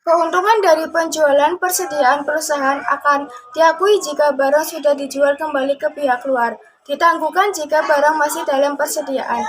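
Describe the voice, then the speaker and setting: A woman's voice: high-pitched, a little hiss or hum, low-quality recording. A young woman, a student presenter, reading out a scripted lesson. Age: young adult. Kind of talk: scripted lesson narration read aloud.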